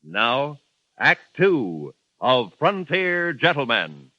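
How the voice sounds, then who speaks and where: A man announcing a station promo in a smooth, formal 1950s broadcast style. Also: medium pitch, sing-song, drawn-out words, loud music behind the voice. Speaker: network announcer. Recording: dull lo-fi 1950s radio recording.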